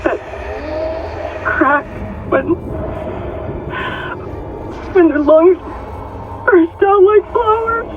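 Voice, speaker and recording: Earnest female space scientist, game audio log. Animated intonation, thin comms quality, clear musical backing. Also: very high-pitched, very slow, pauses often, phone-quality sound, music in the background, exaggerated voice. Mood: grieving, resolute. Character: committed female hydroponics scientist facing deadly disaster.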